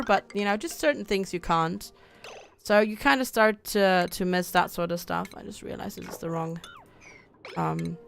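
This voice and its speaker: Gravelly young woman, from germany, casual game stream chat, reads chat aloud, music in the background. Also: mid-range voice, a bit bright. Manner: chatty, reads chat aloud, laughs often. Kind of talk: casual livestream chat while playing.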